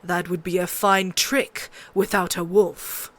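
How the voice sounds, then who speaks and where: A domineering middle-aged male king, acted by a woman narrator. He is gruff and brusque, irritably handing down commands in an audiobook. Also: fairly low pitch, level tone, takes it slowly, a bit bright. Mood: grumbling. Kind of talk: audiobook character voice.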